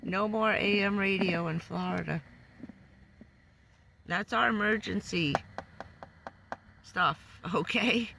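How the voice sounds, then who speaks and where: A hoarse woman who says she is losing her voice, giving a loose, chatty van-life update full of fillers. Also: mid-range voice, slow pace, pauses often, bright, forceful tone, slight background noise. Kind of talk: casual rambling live update. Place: living in a van.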